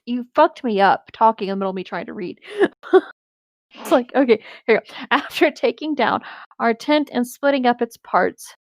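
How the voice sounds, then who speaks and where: A woman with a fairly high voice reads a story aloud in a conversational way, dropping into casual banter between passages. The sound is close and dry. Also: no room sound. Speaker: co-host reading an online story aloud.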